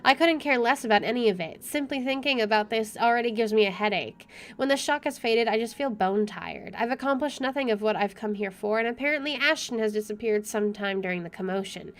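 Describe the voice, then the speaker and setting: A woman's voice: on the high side, brisk. A woman game streamer reading visual novel narration aloud and adding casual commentary. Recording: game music behind.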